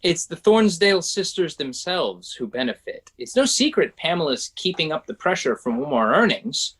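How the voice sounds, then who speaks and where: Casting: male actor playing profit-driven banker, insinuating and needling, slightly raised pitch, very animated delivery for musical dialogue. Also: normal speed, husky, heavily acted. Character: profit-minded insinuating male bank colleague, smooth and needling. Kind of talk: musical table-read dialogue.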